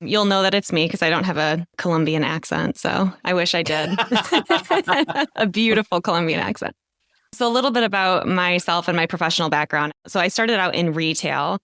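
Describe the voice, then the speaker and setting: Smooth-voiced woman coach, chatty. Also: on the low side, fairly bright tone, no room sound, clean studio sound. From United States. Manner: chatty, laughs often, says "so" a lot. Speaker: guest, leadership coach. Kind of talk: casual podcast interview.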